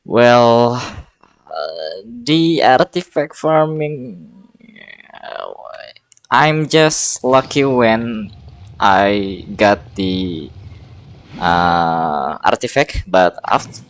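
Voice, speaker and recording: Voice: medium pitch, lively intonation, very slow, pauses often. A game streamer chatting casually and hesitantly, muttering to themselves during a live stream. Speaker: game livestreamer. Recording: music playing behind the voice. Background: game music.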